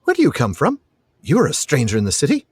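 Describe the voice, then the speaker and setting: Man voicing a high-pitched, boastful young boy. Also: huge pitch swings, fast talker, breathy edge, dry recording, heavily acted. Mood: curious, boastful. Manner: boastful, inquisitive. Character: proud, eager ten-year-old boy of a fantasy city. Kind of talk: expressive novel reading.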